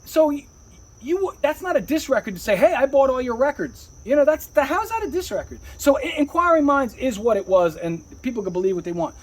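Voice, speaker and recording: High-pitched husky man, animated rapper talk. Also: fairly quick, poor audio. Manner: emphatic, repetitive, streetwise slangy talk with frequent swearing. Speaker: rapper, interview guest. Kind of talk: casual rambling personal storytelling.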